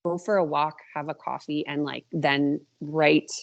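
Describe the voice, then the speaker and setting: A woman in her thirties with a voice a little lower than most. She talks in a casual, animated, self-deprecating way, as an author being interviewed in a relaxed podcast chat. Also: unhurried, vocal fry, muffled recording. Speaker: podcast guest, indie author.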